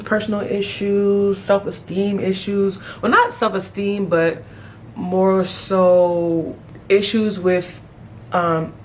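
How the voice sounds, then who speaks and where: A woman in her thirties chatting casually as a beauty vlogger while doing her makeup, playful, with sudden high exclamations. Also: a little hiss or hum. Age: 30s. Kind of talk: casual get-ready-with-me chat.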